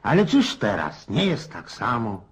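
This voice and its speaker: An actor playing a garrulous, cynical old Cossack in a radio play, rough-edged and folksy, prodding his listener with questions. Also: medium pitch, huge pitch swings, a little slow, full character voice. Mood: musing, reminiscing.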